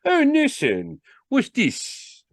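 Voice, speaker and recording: A man's voice: very high-pitched, huge pitch swings, drawn-out words, dry recording, studio quality, pitched above the performer's own voice, full character voice. A man voicing a pompous, hungry salesman with solemn, patronising patter in a storybook reading. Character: wheedling, solemn, hungry male encyclopedia salesman.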